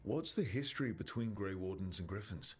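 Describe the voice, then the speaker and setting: A man's voice: low-pitched, lively intonation, fairly quick, breathy edge, faint music behind, heavily acted. A man playing a resolute, level-headed young team leader in a fantasy video game, decisive and earnest. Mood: curious. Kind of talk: video game dialogue acting.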